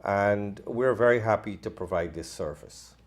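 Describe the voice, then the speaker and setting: A man in his fifties from Guyana, a doctor, answering interview questions in a calm, measured way. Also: lowish voice. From Guyana, New Amsterdam. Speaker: medical doctor, crematorium co-owner. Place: studio.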